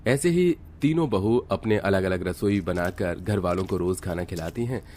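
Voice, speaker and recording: Low male storyteller, steady narration, faint hiss behind. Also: normal speed. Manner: steady, explanatory.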